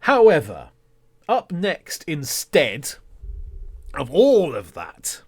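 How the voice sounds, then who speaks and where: Slow-talking man, fairly high voice, podcast host. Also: huge pitch swings, slightly rough. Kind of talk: casual solo podcast talk.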